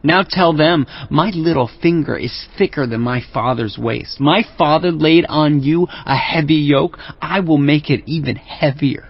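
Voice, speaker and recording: A man's voice: fairly high pitch, unhurried, creaky, hardly any pauses, husky, full character voice. A man playing a brash, arrogant young royal adviser in a dramatized scripture reading, taunting and emphatic. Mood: scornful, boastful. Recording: dry, dull lo-fi.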